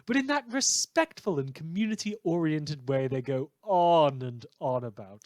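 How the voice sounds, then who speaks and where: A man's voice: fairly high pitch, expressive, unhurried, a little mellow, no room sound. A man playing a sardonic villain who hosts a post-apocalyptic survivalist radio show, with a theatrical, sarcastic delivery. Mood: wry, scornful. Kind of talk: fiction podcast as in-character radio monologue.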